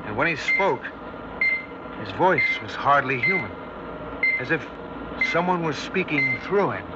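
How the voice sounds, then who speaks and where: A man's voice: medium pitch, lively intonation, unhurried, heavy background noise, close to an everyday voice. Actor as haunted man, muffled vintage radio. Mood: grave, haunted. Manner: tense, dramatic first-person storytelling. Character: haunted man recounting a terrifying alien encounter. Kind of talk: 1960s radio drama narration. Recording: muffled old radio recording with music.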